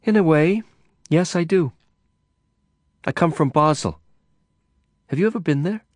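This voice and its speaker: A man voicing a cool, enigmatic Swiss woman in an audiobook, speaking in a calm, measured, teasing way. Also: fairly high pitch, dry recording, clean studio sound, pitched above the performer's own voice. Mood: wistful.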